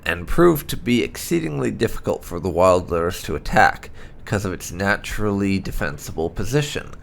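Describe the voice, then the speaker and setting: A man narrating fantasy lore from a script in a measured storyteller's manner. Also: on the low side, gravelly, slight background noise. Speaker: gaming channel host reading game lore aloud.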